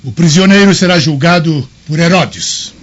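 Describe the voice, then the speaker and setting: An actor playing an authoritative Roman governor in a radio drama, solemn and oratorical, with a husky voice. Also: male voice, on the high side, moderate pace, lo-fi sound, slight background noise, natural voice. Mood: deliberating, authoritative.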